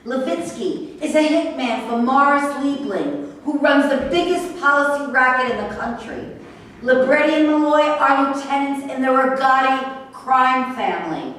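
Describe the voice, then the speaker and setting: A woman's voice: high-pitched, measured pace, a bit bright. A woman in a staged play reading, playing a defiant, emotional horse trainer in an exasperated, pleading manner. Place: echoey indoor room at a staged reading. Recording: distant, very echoey room with noise.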